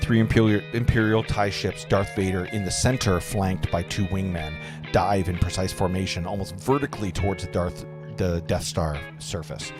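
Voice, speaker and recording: A man with a low voice reads screenplay action lines aloud in an informal, slightly bumbling way. Guitar music plays clearly behind him as he narrates. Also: fairly even intonation, moderate pace. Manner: informal, stumbles over words and jokes about it. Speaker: narrator of a table read. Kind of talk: reading screenplay stage directions aloud.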